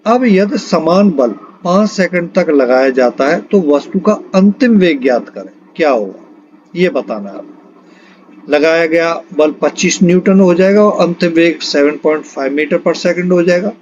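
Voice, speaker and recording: Male exam tutor, slightly high voice, reading quiz questions and answers, muffled budget-mic sound. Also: expressive, normal speed, darkish tone. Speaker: exam-prep physics teacher. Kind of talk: lesson reading out multiple-choice questions and answers.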